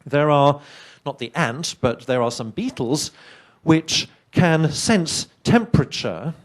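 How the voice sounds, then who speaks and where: A middle-aged man with an English accent presents a science talk to an audience, chatty and full of wry asides. His voice sits on the higher side for a man. English is not his first language. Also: lively intonation, slow pace. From England. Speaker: conference speaker.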